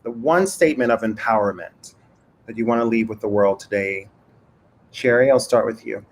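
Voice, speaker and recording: A man's voice: on the low side, normal speed, a little hiss or hum. A man moderating a panel conversation over a video call, affirming and encouraging his guests. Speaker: moderator and show host. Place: home room.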